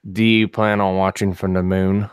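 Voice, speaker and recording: A man with a slightly raspy, froggy throat, chatting wryly and jokingly on a casual live stream. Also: low-pitched, level tone, moderate pace, no room sound, studio quality. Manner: wry, joking. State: froggy throat that will not clear.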